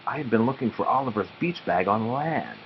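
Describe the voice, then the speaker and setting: A man reads a children's storybook aloud. His medium-pitched voice rises and falls expressively, and he slows deliberately for dramatic pauses. The recording is a little dull, with faint noise in the background. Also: animated. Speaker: read-aloud narrator.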